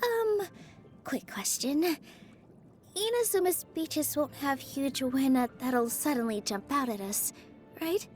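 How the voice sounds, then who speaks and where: A woman voicing a gentle, sunny young dancer in a video game, earnest and encouraging, with a sweet, coaxing manner. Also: high-pitched, animated, slow, faint music behind. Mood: earnest, curious. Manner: sweet, soft-hearted, bubbly, coaxing. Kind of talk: scripted video game character lines.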